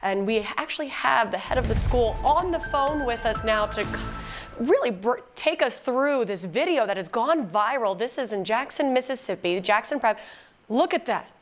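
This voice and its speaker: A woman's voice: a little high, lively intonation, normal speed, music in the background. A woman presenting and interviewing on a live news broadcast in a conversational manner.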